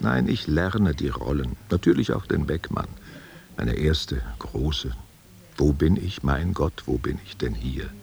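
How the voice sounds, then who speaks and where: A man's voice: rumbling low, creaky, full character voice. An actor playing an aging, wistful stage actor who tenderly courts a younger woman, musing and questioning in an intimate radio play. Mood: playful, coaxing, then brooding. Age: older.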